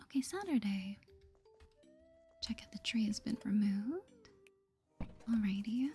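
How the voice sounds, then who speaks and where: A woman softly whispering an ASMR game playthrough in a gentle, playful way, reading dialogue aloud and thinking out loud. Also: mid-range voice, animated, takes it slowly, soft background music. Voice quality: breathy, mostly whispered. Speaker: gaming video host.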